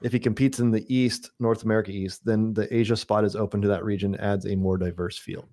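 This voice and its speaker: Man, low voice, podcast host, musing, thinking aloud. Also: fairly even intonation, fairly quick, mellow. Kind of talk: casual chat.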